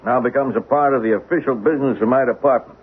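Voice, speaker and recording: A man's voice: mid-range voice, fast talker, slightly rough, narrow, tinny audio, natural voice. An actor playing a patient, skeptical policeman in a 1970s radio drama, matter-of-fact and folksy as he humors someone. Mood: humoring, official. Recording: noisy, band-limited 1970s radio broadcast.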